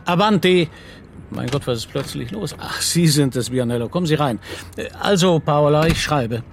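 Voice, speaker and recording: A courteous, inquisitive police commissario in a radio play. His natural, conversational voice sits a little high, with faint background noise around him. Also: expressive, moderate pace, creaky. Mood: distracted, busy. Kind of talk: naturalistic radio drama dialogue.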